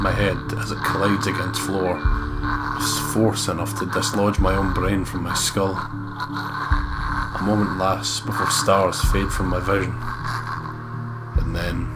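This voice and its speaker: A man reading a horror visual novel aloud in a dramatic first-person voice, with loud game music behind him. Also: low voice. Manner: hushed, deliberate reading that turns loud and excitable in chat.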